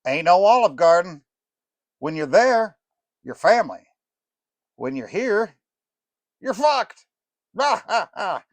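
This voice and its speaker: A man doing a loud, cackling aging rocker. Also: sits high, sing-song, slow pace, long pauses, no room sound, pitched above the performer's own voice, heavily acted. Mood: joking. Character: aging male rock star inmate, cackling joker.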